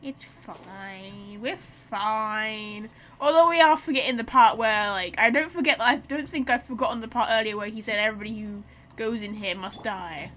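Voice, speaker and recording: A woman's voice: medium pitch, moderate pace, fairly bright tone, a little hiss or hum. A woman game streamer giving casual, playful commentary, chatting and thinking aloud as she plays. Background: video game sounds.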